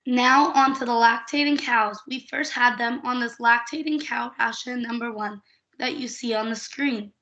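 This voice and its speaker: A woman's voice: on the high side, normal speed. A young woman from Saskatchewan, a student nutrition coordinator, reading a prepared class presentation steadily and carefully. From Saskatchewan, Canada. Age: young adult. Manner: steady, reads figures carefully. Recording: dull lo-fi recording.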